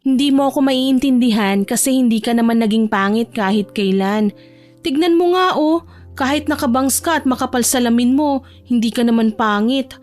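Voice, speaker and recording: Woman acting shy, anxious young Filipina, high-voiced. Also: moderate pace, a little mellow, faint music behind, close to an everyday voice. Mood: defensive. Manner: timid, pleading, emotional. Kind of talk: audio romance drama acting.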